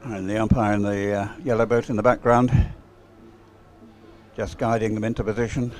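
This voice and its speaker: Man giving halting live race commentary. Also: lowish voice, level tone, a little mellow. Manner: unhurried, halting delivery in short phrases. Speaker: sports commentator.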